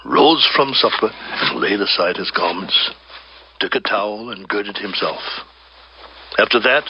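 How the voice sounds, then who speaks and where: A man narrating scripture from a script as a solemn, measured storyteller. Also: lowish voice, slight background noise. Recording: slightly dull, band-limited audio with faint music. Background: faint music.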